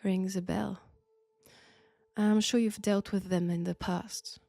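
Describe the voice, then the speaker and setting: Woman acting a slow, French-accented sinister possessed professor. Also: medium pitch, steady tone, vocal fry, dark tone, no room sound. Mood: grave, ominous. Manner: deliberate, teasing, ominous. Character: sinister, flirtatious female archaeology professor possessed by ancient god. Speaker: voice actor in audio roleplay. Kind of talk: slow, intimate roleplay acting.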